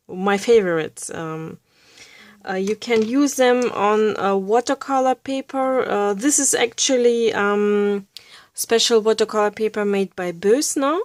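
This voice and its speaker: A woman's voice: medium pitch, slow pace. A woman from Russia who grew up in Bavaria, speaking English with a Russian-German accent, giving a casual drawing tutorial with many hesitant fillers and small laughs. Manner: drops to a soft near-whisper while coloring. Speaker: art tutorial creator.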